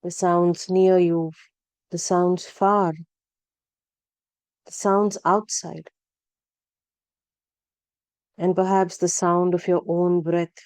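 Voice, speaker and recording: A woman leading a guided relaxation practice, speaking calmly and gently, with unhurried instructions and long pauses. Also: lowish voice, smooth, dry recording. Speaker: yoga nidra guide. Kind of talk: guided meditation narration.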